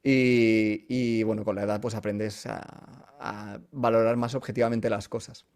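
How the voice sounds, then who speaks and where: A man's voice: lowish voice, level tone, a little slow, darkish tone, clean tone. A man with a Spanish accent from Spain, a voice coach chatting as a podcast guest and explaining with everyday examples and check-in questions. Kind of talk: casual explanatory interview chat.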